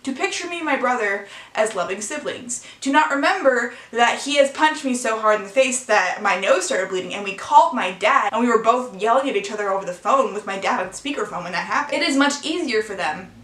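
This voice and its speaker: A teenage woman speaks in a bright, clear voice, earnest and emotional, pausing and restarting her sentences. She records a confessional vlog in her own room, and the room gives her voice a noticeable echo. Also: fairly high pitch, lively intonation. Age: late teens. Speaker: vlogger. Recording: echoey room sound.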